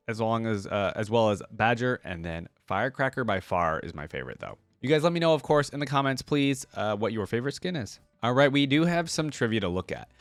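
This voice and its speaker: A man hosting a reaction video, chatting casually, reading aloud and thinking out loud with asides to viewers. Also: mid-range voice, dry recording.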